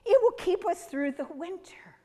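A woman voicing the oldest man in the world, amazed and grateful, in a high, breathy, wondering voice in a storytelling. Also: animated, moderate pace, warm tone, in a large hall.

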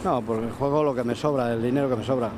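An older man talking into a reporter's mic on a busy street. He is chatty and confiding, with a mid-pitched voice. Traffic noise runs clearly underneath. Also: fairly even intonation, fast. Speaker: interviewee. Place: busy city street outdoors. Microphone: reporter's handheld mic.

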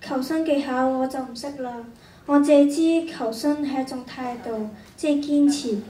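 High, mellow girl acting a loving mother. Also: steady tone, moderate pace, lightly acted. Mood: caring, worried. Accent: Hong Kong Cantonese. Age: teenager. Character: gentle, loving, worried mother. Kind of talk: radio drama acting. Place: stage of a large hall. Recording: echoey stage, distant mic, faint noise.

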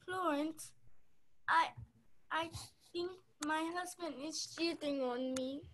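Young woman as a slow, high-pitched dramatic rich lady. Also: fairly bright tone, slight background noise, rough recording. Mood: dramatic, upset. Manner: dramatic, haughty, halting. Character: selfish, dramatic rich Russian lady, imperious mistress. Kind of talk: radio play acting.

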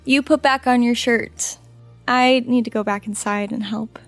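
A woman playing a tender, stoic young nurse, a soldier's sweetheart, earnest and gentle in a period audio drama. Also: a little high, steady tone, measured pace, no echo, soft background music. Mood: tender, resigned.

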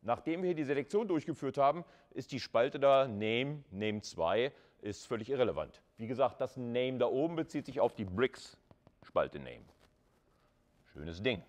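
Male lecturer, mid-pitched, conversational and informal, talking students through material with rhetorical questions. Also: lively intonation, a little slow. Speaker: university lecturer. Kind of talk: live lecture explanation, addressing students. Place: classroom with chalkboard.

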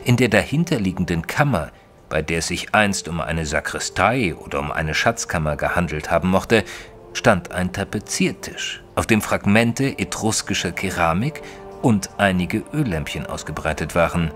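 A deep male voice plays an educated gentleman narrator in an audio drama. He speaks in a formal, literary way, as an observant man who is easily flustered. Soft music sits underneath. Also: animated. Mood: measured narrating. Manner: formal, measured literary storytelling. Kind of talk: scripted first-person audio drama narration.

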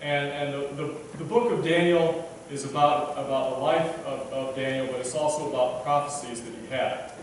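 A man's voice: medium pitch, fairly even intonation, normal speed, hardly any pauses, slight background noise. A man in his thirties giving a casual sermon at a church pulpit, chatty and self-deprecating, stumbling over his words now and then. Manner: conversational, many restarts and fillers, self-deprecating. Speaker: preacher. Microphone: pulpit microphone, filmed from a distant camera. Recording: echoey church from far off.

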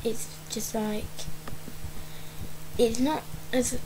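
A young woman talks over her gameplay in a hoarse, croaky voice that sits a little high. Her delivery stays flat, and she rambles with plenty of "like" and "um". A steady hiss of noise runs under the recording. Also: drawn-out words. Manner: rambling, lots of "like" and "um". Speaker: game channel creator. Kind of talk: casual gameplay commentary. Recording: noisy home recording.

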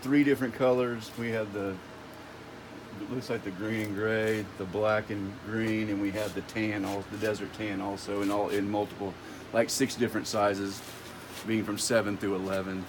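A man's voice: fairly low pitch, flat delivery, moderate pace. A middle-aged man walking through a large warehouse, casually listing stock item by item, often repeating himself, recorded on a nearby phone camera. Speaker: warehouse tour guide. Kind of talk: walkthrough listing inventory. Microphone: handheld phone camera nearby. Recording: noisy warehouse phone video.

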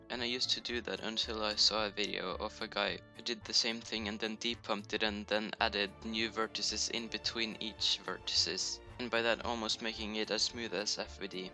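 A Norwegian man talks over his screen recording in accented English, a little low in pitch but sharply bright. He drops self-mocking jokes between explanations. His home mic is cheap, and faint music plays beneath. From Norway. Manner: self-deprecating, joking asides. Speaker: YouTuber. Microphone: home computer mic, poor audio.

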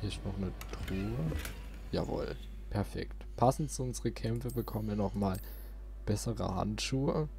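Young guy doing casual let's play chat, creaky low voice, game noise behind. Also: a little slow. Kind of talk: casual gameplay commentary. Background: video game sound.

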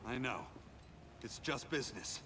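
A man's voice: mid-range voice, big pitch swings, measured pace, heavy background noise. A man voicing an earnest, weary, guarded superhero speedster in a dramatic video game. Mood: challenging.

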